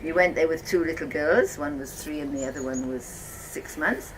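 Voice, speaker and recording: An older woman from Wales telling her life story casually, reminiscing in a halting, conversational way. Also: low-pitched. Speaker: host of guest lodging, farmer's wife. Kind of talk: casual personal storytelling to guests. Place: informal talk to visitors, filmed by a guest. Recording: noisy ambient home video.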